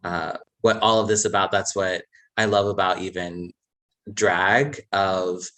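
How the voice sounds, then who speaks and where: A man from a charity speaking earnestly on a livestream, measured and pausing often. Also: deep voice, steady tone, bright, forceful tone. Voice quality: earnest, measured, many "uh" pauses. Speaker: charity representative. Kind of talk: casual livestream chat.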